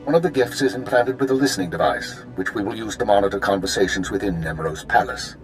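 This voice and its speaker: An actor playing a cool, formal imperial intelligence handler, giving clipped orders in a video game. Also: male voice, lowish voice, lively intonation, fast, faint music behind, lightly acted. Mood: cool, commanding.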